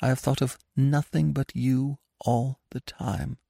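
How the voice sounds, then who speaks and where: A man's voice: mid-range voice, level tone, measured pace, creaky, warm tone, dry recording, clean studio sound, voice pushed up. A man reading a novel aloud, voicing a distraught young married woman who pleads breathlessly and desperately. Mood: anguished. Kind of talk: audiobook reading of a classic novel.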